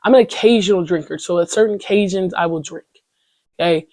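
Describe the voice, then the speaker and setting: Young woman, podcast host, casual solo chat, slangy and rambling, mellow tone, close dry mic. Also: lowish voice, brisk, no room sound. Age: young adult. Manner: candid, slangy and rambling, with many "you know what I'm saying" fillers.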